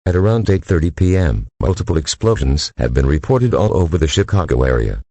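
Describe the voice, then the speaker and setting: A man's voice: very deep voice, big pitch swings, fairly quick, dark tone, slightly rough, dry recording. A synthetic-sounding man reading a scripted emergency alert in a formal manner.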